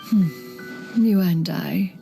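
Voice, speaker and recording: A woman's voice: lowish voice, very slow, gravelly, warm tone, heavily acted. An actress playing a proud, motherly crane immortal in a video game, speaking in formal, archaic, stately diction. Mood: grave. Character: proud, motherly elder crane adeptus in female form, formal master. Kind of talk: video game story dialogue acting. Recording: voice over game music.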